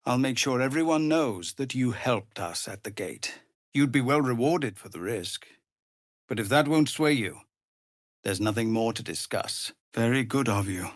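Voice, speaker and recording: A male actor playing a weary, honourable leader of embattled refugees in a video game, grave, measured and formal, with a husky voice that turns gravelly under strain. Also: medium pitch, expressive, no room sound, clean studio sound, close to an everyday voice. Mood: grave, earnest. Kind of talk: dramatic game dialogue.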